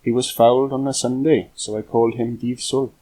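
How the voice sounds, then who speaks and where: A man's voice: medium pitch, fairly even intonation, brisk, dark tone, pitched above the performer's own voice, lightly acted, low-quality recording. A man reading the part of a proud, cheerful young Welsh boy with formal storybook phrasing.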